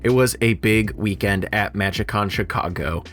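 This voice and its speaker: A man's voice: lowish voice, normal speed, a bit bright, soft background music. A man hosting a podcast, reading a promo and introducing the next segment in an upbeat way. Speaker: podcast host.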